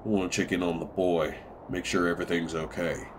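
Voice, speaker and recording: A man's voice: low-pitched, steady tone, fairly quick, a little hiss or hum, close to an everyday voice. A man playing a stern, plain-spoken older farm patriarch in a comic dub. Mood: calm, grave.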